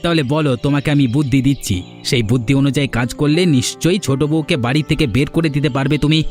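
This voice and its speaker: A man's voice: fairly high pitch, steady tone, quick pace, a little mellow, soft background music, lightly acted. A man voicing a meddling, ingratiating younger village schemer in a cartoon, chatty and wheedling. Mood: conniving. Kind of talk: Bengali cartoon fairy-tale acting.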